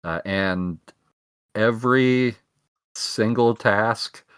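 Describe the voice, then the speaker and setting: A young man co-hosting a casual podcast, rambling through tangents and poking fun at himself. His voice sits on the low side and is recorded dry and close to the mic. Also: extremely slow, a bit bright, clean tone, dry recording. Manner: rambling, self-mocking jokes. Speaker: podcast co-host. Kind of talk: casual chat.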